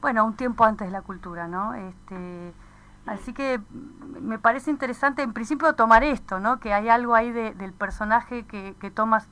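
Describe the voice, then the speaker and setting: A woman's voice: fairly high pitch, moderate pace, a bit bright, rough recording. A woman in a conversational radio discussion, thinking aloud with hesitations and frequent tag questions.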